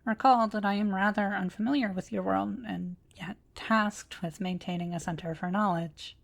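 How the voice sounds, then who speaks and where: A woman's voice: medium pitch, fairly even intonation, vocal fry. Woman voicing a formal, precise automaton librarian. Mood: formal, reflective. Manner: formal, precise, measured diction. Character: ancient female automaton librarian, courteous, formal, calmly curious. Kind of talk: scripted roleplay audio drama addressed to listener.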